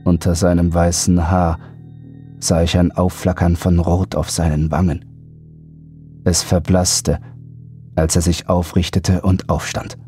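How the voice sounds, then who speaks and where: Male horror audiobook narrator, very low voice, dramatic first-person storytelling over faint music. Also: level tone, measured pace, pauses often, mellow.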